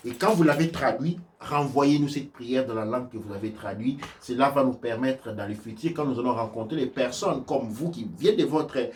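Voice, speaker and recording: A man giving religious teaching on offerings and prayers, speaking haltingly with many restarts and sudden shifts between loud and soft. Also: mid-range voice, distant mic, a little hiss or hum. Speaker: spiritual teacher. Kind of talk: religious teaching in a live stream.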